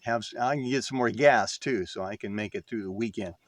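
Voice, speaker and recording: A man's voice: fairly low pitch, a little fast, dry recording, studio quality. An older man in his sixties or beyond tells a casual, rambling story as a vlogger, in a folksy, self-deprecating way. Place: inside an RV.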